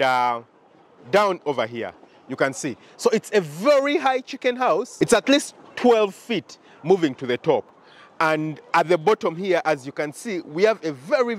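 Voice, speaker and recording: A man's voice: on the high side, very animated, measured pace. A young man with a Ugandan accent gives a walking tour of his poultry farm outdoors, explaining things to viewers and repeating key points. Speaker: farm owner giving a tour. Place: outdoors at a poultry farm and inside a chicken house. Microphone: handheld camera close to the face.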